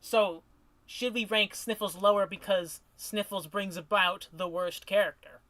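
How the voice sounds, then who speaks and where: High, bright-voiced man rambling on stream. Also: measured pace, no room sound. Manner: rambling, restarts, animated tangents. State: voice getting worn from streaming. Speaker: streamer ranking cartoon characters. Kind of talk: casual chat on a stream.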